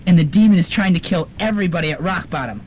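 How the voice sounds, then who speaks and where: A man playing a dramatic, excitable college student, urgent and slangy, in a student radio drama. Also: sits high, fast talker. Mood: urgent, ominous. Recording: noisy amateur student radio recording.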